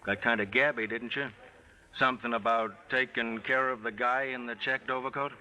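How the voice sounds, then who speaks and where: A man's voice: mid-range voice, fairly even intonation, normal speed, bright, forceful tone, low-quality recording. A man playing a tough, sardonic American police detective in a 1940s radio crime drama, questioning curtly. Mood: hard, sardonic. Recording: vintage 1940s radio recording.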